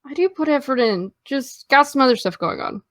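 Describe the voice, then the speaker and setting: A woman's voice: high-pitched, expressive, fairly quick, fairly bright tone, no room sound. An actress playing a guarded, hungover teenage girl, dry, hesitant and defensive, in a naturalistic audio drama.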